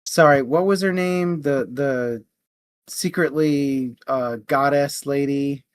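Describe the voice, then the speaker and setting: A man hosting a casual book discussion, thinking aloud in a halting way. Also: medium pitch, smooth, dry recording. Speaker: book discussion show host.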